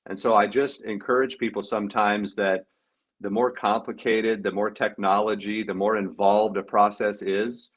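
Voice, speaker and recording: A man around forty speaks on a video call as a panel guest, his voice sitting on the low side. He reflects as a coach would, unhurried and full of casual fillers. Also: little pitch movement. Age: 40s.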